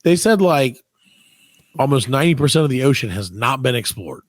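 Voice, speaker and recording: Lively, animated man hosting a podcast. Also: mid-range voice, brisk, no room sound. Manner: playfully argumentative. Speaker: podcast host. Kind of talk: casual comedic banter.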